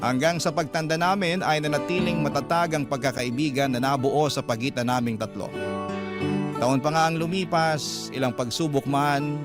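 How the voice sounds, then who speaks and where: A man with a Filipino accent reading a dramatic first-person story as a radio drama narrator. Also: medium pitch. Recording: guitar music behind. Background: plucked guitar music.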